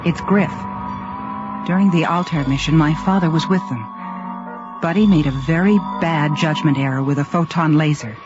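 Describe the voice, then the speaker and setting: An actress playing a brisk, bitter female research director on a space station in a science fiction radio drama. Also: low voice, lightly acted. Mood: dismissive, bitter. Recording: muffled radio drama recording over music.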